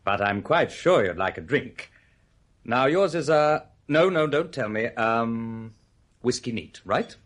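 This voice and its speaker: An actor playing an urbane, teasing, over-polite man with a husky voice and an air of menace, in a radio play. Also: mid-range voice, animated, slow pace. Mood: suave, teasing. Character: suave, teasing, quietly menacing male host who knows too much.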